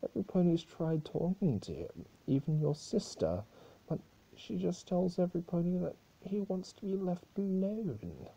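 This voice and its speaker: A theatrical, needling chaos spirit from a storybook, acted out by a man. The male voice is dark and husky, with pitch that swings widely as he toys with his listener. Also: big pitch swings, moderate pace, exaggerated voice, low-quality recording. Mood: somber. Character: mischievous male chaos spirit, teasing, occasionally grave. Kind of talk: dramatic fan-fiction reading.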